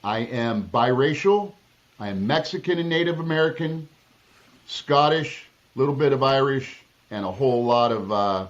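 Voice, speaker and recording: A man's voice: medium pitch, animated, moderate pace. Political candidate, male, from Southern California; emphatic, blunt delivery, restarts mid-sentence, slightly dull recording. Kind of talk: interview answers.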